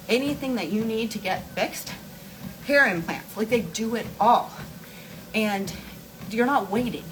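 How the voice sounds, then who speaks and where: A woman's voice: medium pitch, a bit bright, a little hiss or hum, poor audio. A forty-year-old woman hosting a casual live stream, chatting candidly and informally to her followers with emphatic repetition. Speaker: host of a beauty and wellness live stream.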